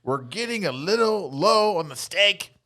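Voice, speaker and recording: A man acts out a bossy old grandmother from a comedy. The voice is bright and forceful, with wide pitch swings and a slowish, scolding delivery. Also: expressive, exaggerated voice. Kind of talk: comedy script read.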